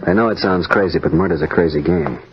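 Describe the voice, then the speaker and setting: An actor playing a matter-of-fact homicide detective in a 1950s radio drama, talking in a clipped, deliberate way. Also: male voice, low-pitched, background noise, natural voice. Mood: businesslike. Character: matter-of-fact, hard-boiled homicide police sergeant. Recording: dull old radio recording.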